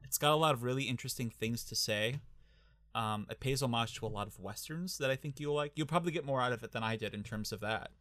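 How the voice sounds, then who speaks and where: Brief: young Midwestern male co-host, mid-pitch, stop-start delivery packed with "uh"s, dry close-miked sound. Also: a bit bright, no echo. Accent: Midwestern American. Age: young adult. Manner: halting, many fillers and restarts. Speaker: podcast co-host. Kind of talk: casual movie podcast chat.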